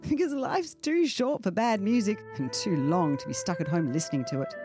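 A woman's voice: medium pitch, normal speed. A woman reading a scripted radio ad in an upbeat way. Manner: upbeat, lively delivery. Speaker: ad voice-over. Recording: voice over clear music.

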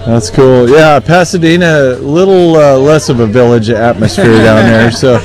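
A man hosting a casual live broadcast interview, speaking in a folksy, halting, conversational way. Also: mid-range voice, a little mellow, a faint crowd, low-quality recording. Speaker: radio show host and interviewer.

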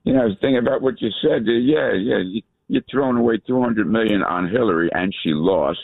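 A man calling in to a radio show, rambling and halting as he talks. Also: fairly low pitch, gravelly, no echo. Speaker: caller. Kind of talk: phone-in chat. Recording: tinny phone line.

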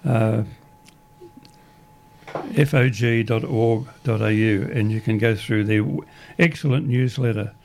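An Australian man hosting a community radio show, with a croaky voice today, rambling through announcements with frequent restarts in an upbeat way. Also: mid-range voice, unhurried, darkish tone, a little hiss or hum.

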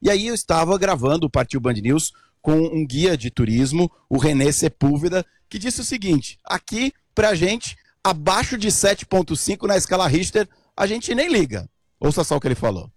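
A man's voice: a little high, very animated, normal speed, no room sound. A dry-voiced man reporting from abroad. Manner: explanatory, informal, with fillers. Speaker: correspondent.

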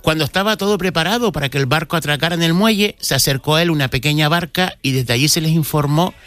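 A man's voice: on the high side, quick pace, fairly bright tone, no echo. A man, a cultural commentator telling a local history story on a radio segment, with frequent restarts.

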